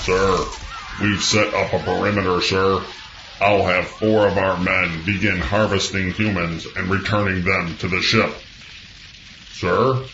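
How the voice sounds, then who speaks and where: Sci-fi comedy henchman, male actor: servile, awkward, slow delivery, echoey and noisy recording. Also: low-pitched, steady tone. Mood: dutiful. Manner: formal, hesitant, stammering under pressure. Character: deferential, awkward alien military underling. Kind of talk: comic sci-fi audio drama. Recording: muffled, roomy, with loud noisy ambience.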